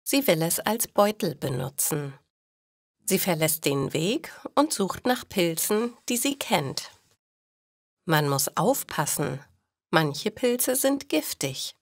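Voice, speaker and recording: A woman narrating simple scripted stories for language learners, speaking deliberately and enunciating carefully. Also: lowish voice, very animated, no echo, clean studio sound. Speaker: storyteller for German learners.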